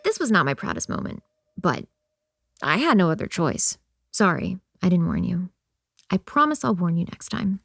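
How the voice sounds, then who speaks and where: A woman's voice: lowish voice, big pitch swings, normal speed, vocal fry, fairly bright tone, soft background music, exaggerated voice. A woman playing a guarded, wry young woman who sees monsters in an audio drama, with an understated delivery. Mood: wry, confiding. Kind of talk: audio drama acting with first-person narration.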